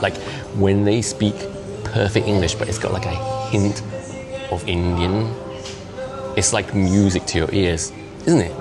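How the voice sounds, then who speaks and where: A man in his fifties, a native Cantonese speaker, talks in a low, chatty voice straight to camera. He is animated and slips into little impressions of the people he quotes. Music plays clearly behind him. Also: unhurried, long pauses. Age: 50s. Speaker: vlogger. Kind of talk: casual monologue to camera.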